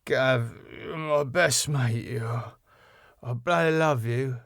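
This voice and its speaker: A man's voice: mid-range voice, takes it slowly, creaky, fairly bright tone, no echo, studio quality. A man voicing a maudlin, self-pitying drunk in a sitcom, sentimental and feeble. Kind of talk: sitcom script read aloud.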